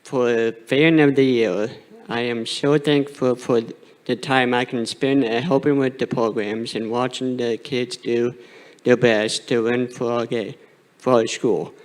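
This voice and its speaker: A man's voice: mid-range voice, fairly even intonation, a little slow. Earnest man reading his thank-you speech. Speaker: award recipient.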